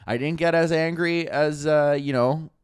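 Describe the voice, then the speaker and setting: A man's voice: a little high, steady tone, normal speed, clean tone, no room sound, studio quality. A man with a Canadian accent from Alberta, co-hosting a podcast and telling stories in a rambling, laid-back way with plenty of swearing. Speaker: podcast co-host. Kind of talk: casual storytelling chat.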